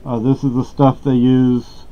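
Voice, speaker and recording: A man's voice: medium pitch, flat delivery, a little slow. Rambling middle-aged man, dull lo-fi audio. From Texas. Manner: meandering, many fillers and restarts. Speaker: vlogger. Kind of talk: rambling casual talk to camera. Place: small dark room at home.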